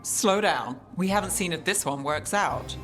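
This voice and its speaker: An actress playing a cool, world-weary, guarded detective in a video game, with dry, understated delivery. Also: female voice, fairly low pitch, normal speed, fairly bright tone, faint music behind, close to an everyday voice. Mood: dry, composed.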